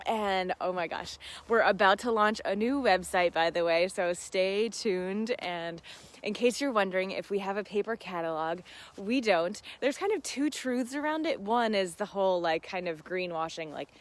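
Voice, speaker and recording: A woman's voice: medium pitch, lively intonation, normal speed. Effusive, giggly woman chatting on a livestream. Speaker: host of a live seed-farm Q and A. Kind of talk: casual livestream chat with viewers.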